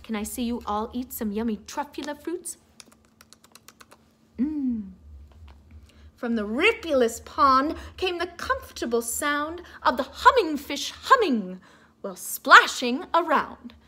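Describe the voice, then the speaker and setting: A woman's voice: high-pitched, sing-song, slow pace, sharp tone. A woman reading a children's story aloud like a teacher, theatrical and playful, coaxing her listeners to join in.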